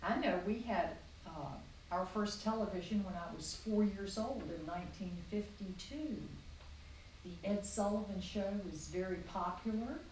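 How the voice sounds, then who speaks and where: A woman's voice: mid-range voice, measured pace, darkish tone, very echoey, far from the mic, a little hiss or hum, low-quality recording. A woman of sixty-eight from Virginia, a grandmother answering her grandchild's questions at home, informative and friendly. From Virginia, USA. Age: 60s. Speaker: grandmother being interviewed. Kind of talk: family interview, casual recollection. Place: home, beside a fireplace.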